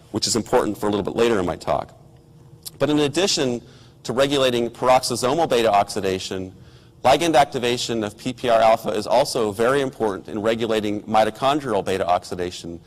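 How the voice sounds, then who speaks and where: A hoarse middle-aged man delivers a technical science lecture in a measured way, pausing on ums and restarting phrases. His voice sits at an ordinary pitch for a man. The sound carries the long reverb of a lecture hall. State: hoarse from a cold.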